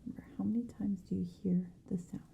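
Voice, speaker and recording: A woman whispering softly and gently in an ASMR roleplay, giving reassuring instructions as an ear examiner, close to the microphone. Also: medium pitch, steady tone, fast, muffled recording, a little hiss or hum. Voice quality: soft, breathy whisper.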